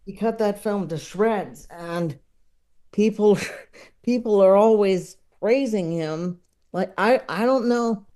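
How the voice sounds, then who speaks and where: A man's voice: high voice, slow, a little mellow. A man speaking as a podcast guest, chatting casually and analytically about what he loves, often hesitating as he thinks aloud. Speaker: podcast guest, comedy enthusiast. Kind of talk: casual opinionated film chat.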